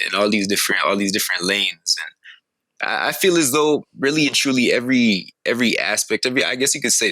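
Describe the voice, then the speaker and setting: Bright-toned articulate young male rapper guest. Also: low voice, no echo, poor audio. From Calgary, Canada. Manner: articulate, measured, thoughtful. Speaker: hip hop artist guest. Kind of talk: casual interview answers.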